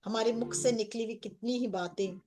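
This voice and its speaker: A woman with an Indian accent leading a guided meditation as a coach, speaking in gentle, repetitive phrases with pauses. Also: fairly high pitch, fairly even intonation. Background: background music.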